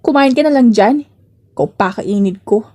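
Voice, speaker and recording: Coy, teasing young working woman for audio romance, read by a female narrator; slightly high, mellow voice. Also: animated, normal speed. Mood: coy, playful. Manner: teasing, coy, mock-annoyed.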